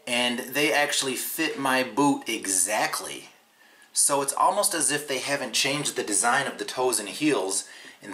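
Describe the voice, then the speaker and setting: A man's voice: medium pitch, pushed and bright. A man in his forties chatting casually in a how-to vlog, upbeat and full of ums, recorded by a camera across a desk in a small room. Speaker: vlogger.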